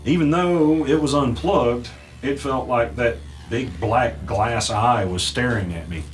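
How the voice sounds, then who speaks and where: A man playing an uneasy podcaster who narrates his own mystery in the first person, deliberate and halting. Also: mid-range voice, faint music behind, lightly acted. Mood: uneasy, brooding.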